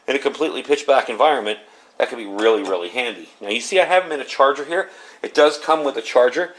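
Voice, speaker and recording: A man's voice: medium pitch, quick pace, fairly bright tone, low-quality recording. A man giving a casual, unscripted product demonstration in a plain-spoken, explanatory, conversational way. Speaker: product reviewer.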